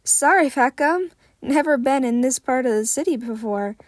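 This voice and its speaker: Woman doing an upbeat eager teen hero kid, boy, high voice, super dry sound. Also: animated, normal speed, dry recording. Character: bright, upbeat teenage boy hero student.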